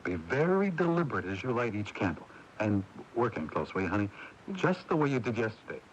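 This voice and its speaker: An actor playing a harried, bossy film director in a 1980s radio drama. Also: male voice, lowish voice, very animated, lo-fi sound. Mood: commanding. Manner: brisk, bossy, exasperated. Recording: noisy 1980s radio broadcast taping.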